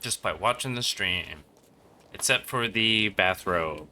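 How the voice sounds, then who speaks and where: A man doing casual livestream commentary over a game, rambling along in a deadpan, joking way. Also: lowish voice, slow, a little hiss or hum. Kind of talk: casual gameplay stream commentary.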